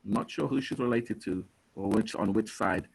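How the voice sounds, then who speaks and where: A man's voice: fairly low pitch, normal speed, vocal fry, slightly rough, poor audio. A man from England hosting a family video call, talking in a hesitant way with many restarts.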